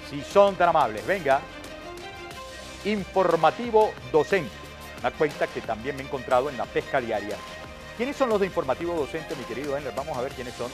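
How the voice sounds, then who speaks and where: A middle-aged man with a Venezuelan accent hosting a news show, giving indignant, sarcastic commentary and emphatic rhetorical questions as he reads out reports. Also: a little high. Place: TV studio.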